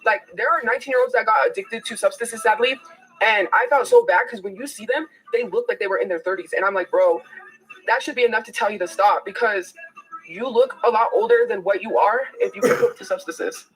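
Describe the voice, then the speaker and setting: A fast-talking woman in her early thirties, rambling on her livestream. She sounds defensive and talks in a quick, breezy stream. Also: mid-range voice, a bit bright, poor audio. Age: 30s. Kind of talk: livestream chat.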